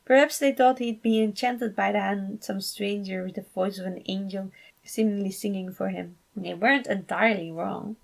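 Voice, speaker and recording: A woman narrates a scripted story in a mid-pitched voice. There is clear vocal fry in her delivery as she reads the fan fiction aloud. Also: steady tone, normal speed.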